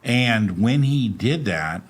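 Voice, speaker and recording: A man talking as a guest, telling personal stories in a casual, animated, self-deprecating way with laughs. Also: mid-range voice, slow pace. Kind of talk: casual conversational storytelling.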